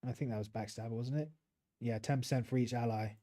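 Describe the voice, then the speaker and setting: A man's voice: mid-range voice, fairly even intonation, fast talker, dark tone. A British man casually commentating his gameplay on a livestream and chatting with his viewers. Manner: easygoing, chats with viewers while playing. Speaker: streamer.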